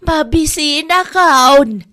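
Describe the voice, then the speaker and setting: A woman's voice: high voice, expressive, extremely slow, sharp tone, exaggerated voice. An actress with an Ilocano accent playing a cranky, melodramatic elderly mother who sells avocados in a radio comedy drama. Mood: grumbling. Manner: grumbling, melodramatic.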